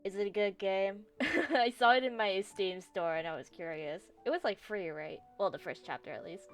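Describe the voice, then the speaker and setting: A woman's voice: mid-range voice, normal speed. A woman streaming games, chatting casually and easygoingly with playful asides to her viewers. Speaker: variety game streamer. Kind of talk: casual livestream chat and reactions. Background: background music.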